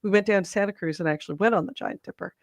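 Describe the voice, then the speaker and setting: A slightly hoarse woman co-hosting a podcast, chatting in a rambling, self-interrupting way. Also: medium pitch, rapid-fire, no room sound, clean studio sound. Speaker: podcast co-host. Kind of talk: casual chat.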